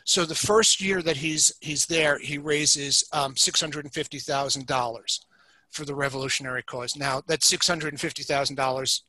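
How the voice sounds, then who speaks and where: Bright-voiced man telling history. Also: fairly high pitch, fairly even intonation, moderate pace, poor audio. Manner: many fillers and restarts, explaining history. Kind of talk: conversational storytelling.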